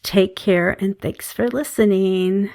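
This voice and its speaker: Middle-aged woman, calming voice, halting casual monologue with many fillers, very slow. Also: mid-range voice, dry recording. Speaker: meditation channel host.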